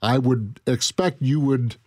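Booming-voiced man with firm opinions. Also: unhurried, dry recording, clean studio sound. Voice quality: big booming voice. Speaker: co-host. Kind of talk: casual chat.

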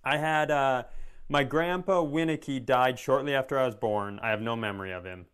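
A man's voice: medium pitch, moderate pace, a bit bright, smooth. A man co-hosting a comedy podcast, telling rambling, wry stories in casual chat.

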